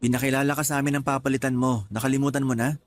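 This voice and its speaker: An actor playing a quiet, serious male office worker in a Tagalog radio drama, restrained and matter-of-fact. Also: medium pitch, level tone, very fast, slight background noise, natural voice. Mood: reserved, polite.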